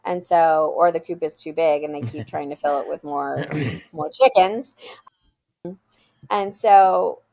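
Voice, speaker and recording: A school founder being interviewed, she speaks with earnest emphasis and a rather low voice. Her tone carries a gravelly, creaky texture, and she often restarts a phrase before pushing on. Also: a little slow. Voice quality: loud voice. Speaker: school founder, podcast guest. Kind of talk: interview answers.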